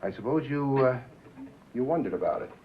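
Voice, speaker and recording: An actor playing a scheming, paternal network president in a 1950s film drama, condescending, with deliberate, hesitant pauses. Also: male voice, medium pitch, animated, narrow, tinny audio, slight background noise, natural voice. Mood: calculating. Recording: thin, boxy old film soundtrack.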